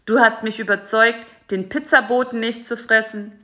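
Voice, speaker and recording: A woman voicing a fat male spider who speaks calmly in a storybook reading. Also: a little high, moderate pace, pitched above the performer's own voice. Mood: warm. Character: fat male spider, gruff but softening.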